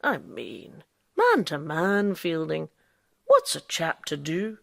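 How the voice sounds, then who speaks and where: A woman's voice: sits low, huge pitch swings, slow, vocal fry, a bit bright, dry recording, studio quality, heavily acted. An actress in a radio drama playing an impudent, boastful female quack doctor who lives as a man. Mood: sly, gossiping. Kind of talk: radio drama acting with narration.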